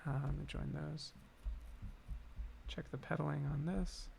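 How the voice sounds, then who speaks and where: A man's voice: on the high side, fairly even intonation, a little slow, creaky, a little mellow, slight background noise. A man hosting a livestream on composing, thinking aloud in a casual, chatty, informal way. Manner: informal and chatty, hums snatches of melody. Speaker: composer hosting a livestream on writing game music.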